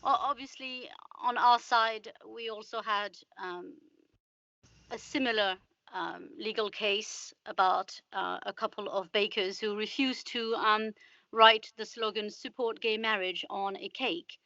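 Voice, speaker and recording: Woman interviewer with a bright, muffled sound. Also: medium pitch, fairly even intonation, unhurried, no room sound. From United Kingdom resident. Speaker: interviewer, podcast host. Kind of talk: podcast interview.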